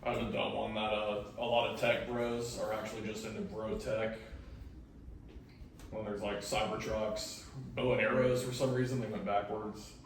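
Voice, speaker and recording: A man's voice: on the low side, level tone, moderate pace, creaky, slight background noise. A man in a comedy writing workshop, talking loosely and rambling with lots of filler words. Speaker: workshop participant. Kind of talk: casual chat. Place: crowded room full of chatter. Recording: very echoey busy room, from far off.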